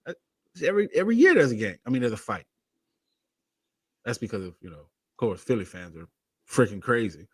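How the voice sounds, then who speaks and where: Male co-host, rambling filler-heavy delivery, animated pitch. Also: brisk, long pauses, husky. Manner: rambling, lots of "you know" fillers. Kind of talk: casual sports chat.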